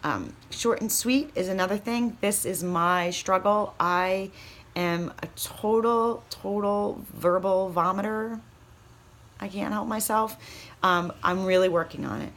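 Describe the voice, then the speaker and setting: A woman's voice: mid-range voice, measured pace, a bit bright. A woman giving an informal training talk in a chatty, candid, encouraging and emphatic way, like a coach talking to new consultants. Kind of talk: informal training talk with acted sample pitches.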